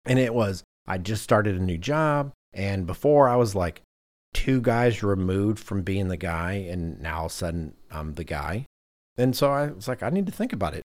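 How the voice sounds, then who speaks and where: A man's voice: sits low, lively intonation, moderate pace, a little mellow. A man hosting a podcast in casual conversation, telling anecdotes in a self-deprecating way. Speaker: podcast host.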